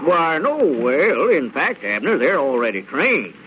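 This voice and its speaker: An actor playing a breezy, persuasive country squire in a folksy radio comedy. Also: male voice, a little high, sing-song, unhurried. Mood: breezy persuasion. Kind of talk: 1930s radio comedy acting. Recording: dull, noisy old radio recording.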